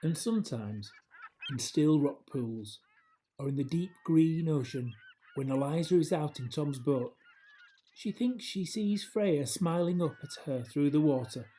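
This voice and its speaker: A man reading a children's story aloud in a gentle, expressive way, with hushed dramatic drops. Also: a little high, measured pace. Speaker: storybook reader. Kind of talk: scripted children's story narration, read aloud.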